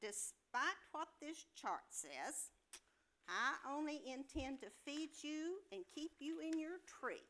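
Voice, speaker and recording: A woman acts out a scornful night nurse in a comedy play reading. The voice is high and piercing, and the lines come slowly, heavy with dismissive sarcasm. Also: expressive, sharp tone, slight background noise, heavily acted.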